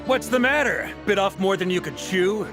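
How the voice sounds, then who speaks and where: An actor playing a hearty, wry, seasoned knight commander in a video game cutscene, talking casually and with self-deprecation. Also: male voice, high voice, lively intonation, moderate pace. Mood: urgent, confident. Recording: game music behind the voice.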